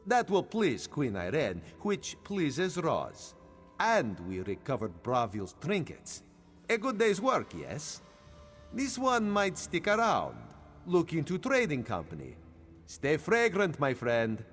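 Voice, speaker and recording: A smug cat-folk mercenary from a fantasy video game, speaking slowly with huge swoops in pitch. He savors each phrase like a contented predator, in a long hall reverb over faint music. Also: wildly swooping pitch, full character voice. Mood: smug satisfaction. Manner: third-person speech, slow and savoring. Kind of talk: video game character dialogue.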